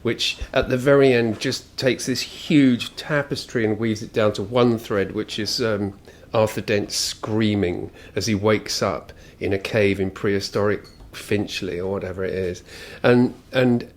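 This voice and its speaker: A British man talks in a slightly low voice. He comments reflectively and conversationally, as in a radio discussion. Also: steady tone, measured pace.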